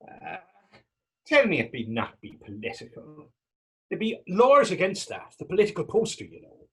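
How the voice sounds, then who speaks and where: A man playing Tommy, a talkative, gentle and wry Irish former fisherman, in staged readers theater dialogue with a folksy, halting storytelling lilt. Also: sits high, huge pitch swings, breathy edge, full character voice, low-quality recording. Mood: curious, cautious.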